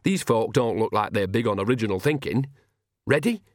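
A man voicing a bold, crafty old witch in an audiobook, brisk, colloquial and commanding, with a sly streak. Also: on the low side, animated, no echo, clean studio sound, close to an everyday voice.

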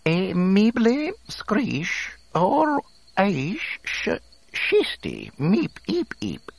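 A droid talking squeaky critter gibberish, super high, drawn out, for a sci-fi storybook. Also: male voice, wildly swooping pitch, drawn-out words, gravelly, slightly rough, dry recording, full character voice. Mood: proclaiming. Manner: squealing nonsense syllables. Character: droid chattering in a squeaky alien creature tongue.

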